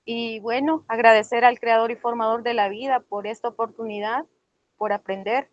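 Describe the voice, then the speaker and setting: A woman's voice: a little high, fairly even intonation, moderate pace. A woman organizer who invited the guest, giving a gracious and earnest welcome and thanks. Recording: dull, lo-fi sound.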